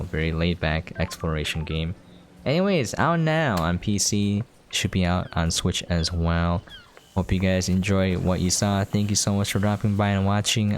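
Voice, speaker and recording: A man with a low-pitched voice talks through a video game as its host. His delivery is casual and rambling, laid-back in manner. There is clear noise behind his voice. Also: moderate pace.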